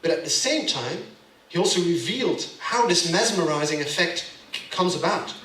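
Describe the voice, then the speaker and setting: A man's voice: a little high, expressive, normal speed, sharp tone, distant mic. A man with a Belgian accent gives a gallery lecture, speaking emphatically and checking in with the audience. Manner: emphatic, keeps asking "yeah?" to the audience. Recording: echoey room, voice off-mic.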